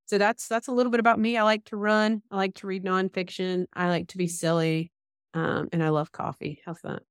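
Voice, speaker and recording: A woman's voice: mid-range voice, little pitch movement, a little fast, clear, resonant tone, no room sound, clean studio sound. A woman in her thirties from Georgia with a Southern accent, talking easily and poking fun at herself as a podcast guest. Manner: easygoing, laughs, self-deprecating. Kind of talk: casual interview chat.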